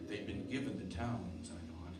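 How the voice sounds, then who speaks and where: A man's voice: lowish voice, normal speed, close to an everyday voice. A man acting a tense, grim, protective husband and father in a radio drama, also narrating in the first person. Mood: grave narration. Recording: roomy, distant radio-play recording with loud music.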